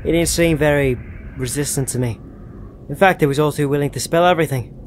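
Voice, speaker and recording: A man playing a sharp, commanding intelligence agent in a science fiction audio drama, with clipped, probing speech. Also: a little high, brisk, close to an everyday voice. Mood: businesslike. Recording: clear background ambient noise.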